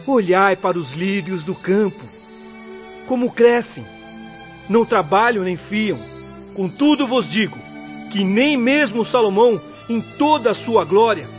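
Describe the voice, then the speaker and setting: An actor playing a humble, good-humored and devout poor farmer in a radio drama, speaking earnestly. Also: male voice, high voice. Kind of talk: Brazilian radio drama acting. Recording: dull lo-fi audio.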